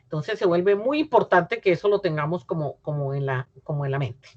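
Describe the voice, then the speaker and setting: A middle-aged Colombian woman, a university professor, giving an academic lecture over a video call, measured and explanatory, with frequent pauses and self-corrections. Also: fairly low pitch, animated, darkish tone. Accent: Colombian Spanish. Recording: muffled lo-fi video call audio.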